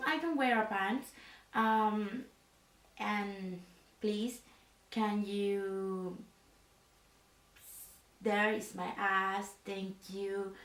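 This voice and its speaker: A woman voicing a female resistance leader in pajamas, speaking softly and haltingly, with many hesitant fillers, in an ASMR roleplay. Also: medium pitch, echoey room, distant mic. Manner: halting, slow, with hesitant fillers and a foreign-sounding phrasing. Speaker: leader of a sleeper resistance unit. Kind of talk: soft-spoken ASMR roleplay.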